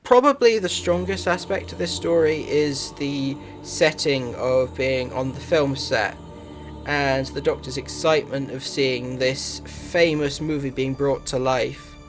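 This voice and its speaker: A man delivering scripted review narration in a measured, matter-of-fact way. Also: mid-range voice, over music. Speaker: video reviewer.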